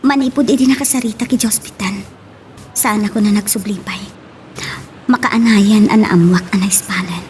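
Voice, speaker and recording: A woman's voice: mid-range voice, normal speed, a little mellow, slight background noise, close to an everyday voice. An actress playing a heartbroken young woman, devoted and pleading, in an Ilocano radio drama. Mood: hurt, pleading. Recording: music under the dialogue.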